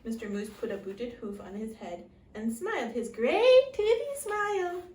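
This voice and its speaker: A high-voiced female preschool English teacher reads a story to young children at a slow pace. She is expressive and prompts them to repeat words. The room is echoey. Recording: echoey room, distant mic, faint noise.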